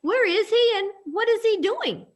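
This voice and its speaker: A woman's voice: extremely high pitch, animated, normal speed. A woman playing a friendly, curious, slightly hesitant character in a radio play. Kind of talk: reader's theatre radio play acting.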